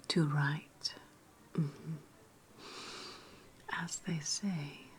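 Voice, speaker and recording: Lady doing a sly roman witch hostess for asmr roleplay, seductive and condescending, super slow breathy whisper, bit of background noise. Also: fairly low pitch. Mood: conspiratorial.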